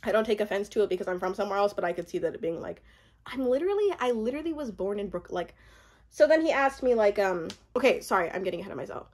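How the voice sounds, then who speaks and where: A young woman, born in Uganda, telling a personal story in a casual, animated way, laughing often and acting out what others said. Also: mid-range voice, fast talker. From born in Uganda, raised mostly in the US. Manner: animated, laughs often, acts out quoted speech. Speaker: vlogger.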